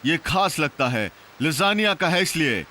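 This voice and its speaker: Bright, animated, puzzled cartoon sidekick. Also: male voice, a little high, a little slow, a little hiss or hum, full character voice. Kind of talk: Hindi cartoon dub.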